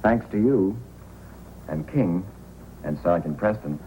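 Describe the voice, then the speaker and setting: An actor playing an eager, earnest newspaper reporter in an old-time radio adventure. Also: male voice, deep voice, lively intonation, slow pace, dark tone, rough recording. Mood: proud. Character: eager, earnest young newspaperman from New York. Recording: old radio recording, background noise.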